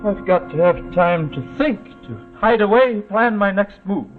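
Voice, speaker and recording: High-voiced, menacing killer on the run. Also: male voice, lively intonation, normal speed, music in the background. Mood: weary, brooding. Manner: sneering, commanding, swings from quiet to outbursts. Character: menacing escaped killer, cruel, taunting, unstable intruder. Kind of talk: vintage screen melodrama acting. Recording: tinny band-limited old film soundtrack.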